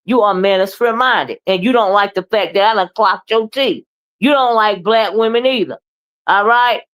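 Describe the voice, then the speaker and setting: Loud, boisterous woman ranting. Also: medium pitch, normal speed. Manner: blunt, profane, keeps asking "okay?" and "right?"; moody, confrontational. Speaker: live streamer and commentator. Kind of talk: ranting live commentary.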